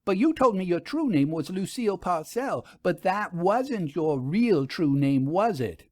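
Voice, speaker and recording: A strong-willed woman sleuth of ghostly mysteries, played by a male storybook reader. The voice is lifted high and swings widely in pitch, pressing each question with grudging, inquisitive insistence. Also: animated, unhurried, darkish tone, no room sound, clean studio sound. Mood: wounded, grumbling. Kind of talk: audio storybook character reading.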